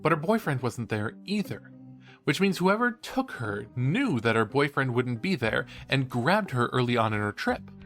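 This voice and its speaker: An actor playing a cheeky, enthusiastic college student who hosts a true-crime podcast in an audio drama. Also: male voice, medium pitch, very animated, moderate pace, fairly bright tone, faint music behind. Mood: intrigued storytelling. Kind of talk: conversational audio drama acting as podcast host.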